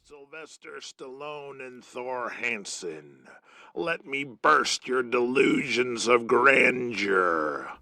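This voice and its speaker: An actor playing a brash veteran wrestling manager in an audio drama, gravelly and grandiose. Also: male voice, medium pitch, expressive, slow pace, full character voice. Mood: grandiose, menacing. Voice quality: gravelly, creaky. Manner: forceful, grandiose.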